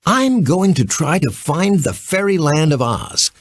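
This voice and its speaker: Man putting on an earnest little girl, raised pitch. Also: big pitch swings, measured pace, no echo, heavily acted. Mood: eager.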